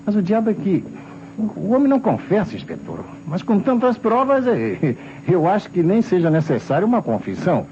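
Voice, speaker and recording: A muffled old radio recording with faint noise presents an earnest, literal-minded detective. His voice is mellow and swings up and down in a crime-drama style. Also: sits high, very animated, fairly quick. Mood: puzzled, insistent. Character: earnest, literal-minded male police detective, the inspector's subordinate.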